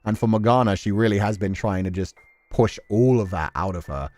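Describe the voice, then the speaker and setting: A man's voice: fairly low pitch, fairly quick, darkish tone. A man giving casual, emotive live commentary as a game streamer, swearing freely and acting out characters' thoughts as they analyse a story. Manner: emotive, acts out imagined inner thoughts, sweary.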